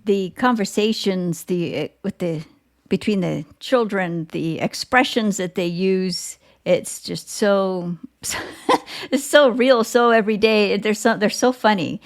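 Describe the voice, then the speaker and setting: A woman hosting a conversational podcast interview, speaking haltingly in short phrases as she searches for words. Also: mid-range voice. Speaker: podcast host.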